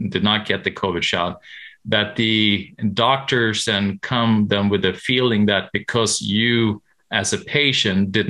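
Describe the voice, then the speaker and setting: A man from Sweden, a medical professional answering interview questions haltingly, with many restarts. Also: fairly low pitch, sharp tone.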